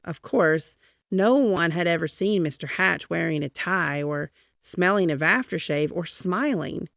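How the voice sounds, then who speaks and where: Low-pitched woman reading a children's storybook. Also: gravelly, fairly bright tone, no room sound, clean studio sound. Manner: dramatic, drawn-out emphasis on key words. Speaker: read-aloud storyteller. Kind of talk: expressive children's storybook narration.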